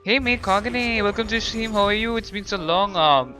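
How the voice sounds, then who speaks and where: A woman livestreaming a game, chatting casually with viewers in a halting way and repeating her phrases. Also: mid-range voice. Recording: faint game music behind. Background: game music and dialogue.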